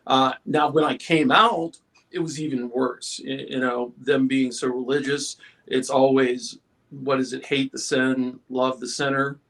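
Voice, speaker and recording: A middle-aged, male-sounding panelist shares personal reflections in a casual group talk. The voice sits at an ordinary pitch and moves slowly, with a halting, thoughtful delivery. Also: level tone, a bit bright.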